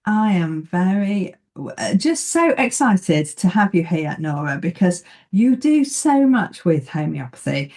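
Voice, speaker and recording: A woman hosting an interview, with a voice that rises and falls a great deal. Her tone is mellow and warm rather than bright, and she sounds eager and encouraging as she welcomes her guest. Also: fairly low pitch, very animated, a little slow. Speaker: podcast host.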